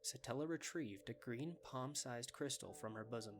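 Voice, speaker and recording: Male story narrator with soft music behind. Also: medium pitch, normal speed.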